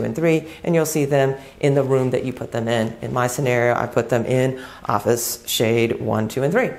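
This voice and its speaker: A woman presenting a step-by-step tech tutorial and product demo in a plain-spoken, instructional manner. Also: rumbling low.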